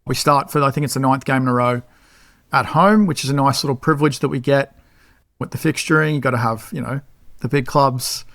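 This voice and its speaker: A man's voice: medium pitch, a little fast, vocal fry, a bit bright, no echo, studio quality. A man co-hosting a football podcast, talking in a laid-back, matter-of-fact way. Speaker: podcast co-host. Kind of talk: casual football podcast chat.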